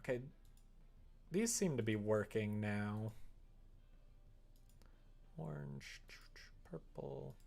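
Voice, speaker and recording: A man's voice: mid-range voice, animated, drawn-out words, vocal fry, long pauses, mellow. A man streaming casually, talking informally and muttering to himself as he works. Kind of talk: casual livestream chat while coding a visual novel.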